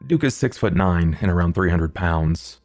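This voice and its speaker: Very deep-voiced man, measured. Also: lively intonation, darkish tone, dry recording. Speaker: player in tabletop game. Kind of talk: measured character introduction. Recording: music under the voice. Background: background music.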